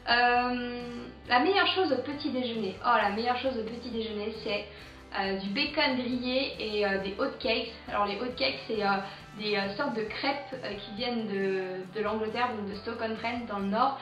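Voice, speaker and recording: A woman's voice: fairly high pitch, moderate pace, fairly bright tone. A young French woman answering a list of questions in a casual, chatty way, full of hesitant fillers. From France. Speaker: vlogger. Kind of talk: casual Q&A tag video. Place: home in England. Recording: roomy, distant, dull sound with music.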